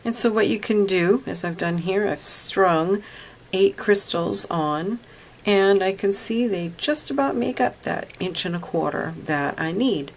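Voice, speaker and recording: A woman's voice: medium pitch, measured pace. A woman narrating a step-by-step craft tutorial, explaining each step plainly and patiently.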